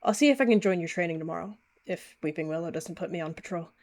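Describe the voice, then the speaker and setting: A woman voicing a composed, quietly reassuring she-cat warrior in a roleplay game, measured and understated. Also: lowish voice, close to an everyday voice.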